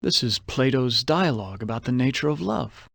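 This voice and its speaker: A man's voice: medium pitch, animated, normal speed, gravelly, a bit bright, dry recording, studio quality. An actor playing a vain, suave, womanizing con man who muses aloud theatrically and suspiciously in a video game. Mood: reflective, musing. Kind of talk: video game character monologue.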